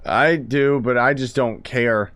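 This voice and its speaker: A man's voice: medium pitch, fairly even intonation, slow pace, fairly bright tone. A man gives casual, blunt, grumbling commentary as a gaming streamer playing through a game.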